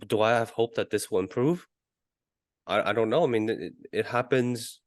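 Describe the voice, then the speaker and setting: A man co-hosting a sports podcast in casual discussion, arguing his points haltingly and often repeating words. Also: medium pitch, no room sound, studio quality. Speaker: podcast co-host.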